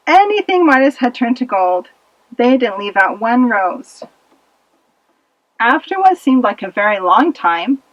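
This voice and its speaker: A woman narrating a children's puppet-show fable with expressive, dramatic delivery, in a slightly muffled recording. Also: sits high, no room sound. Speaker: storyteller.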